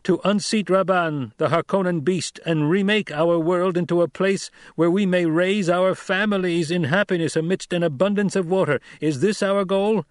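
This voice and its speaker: A man voicing a young male desert leader who speaks forcefully and questions his listeners rhetorically, in an audiobook. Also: high voice, moderate pace, hardly any pauses, dry recording, clean studio sound, pitched above the performer's own voice, lightly acted. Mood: commanding. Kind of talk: audiobook character voice.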